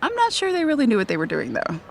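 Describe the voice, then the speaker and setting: A woman's voice: a little high, wildly swooping pitch, fast talker, gravelly, slight background noise, exaggerated voice. An actress playing a flippant young woman on a date, teasing and casual, in natural romcom audio drama dialogue. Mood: casual, sincere.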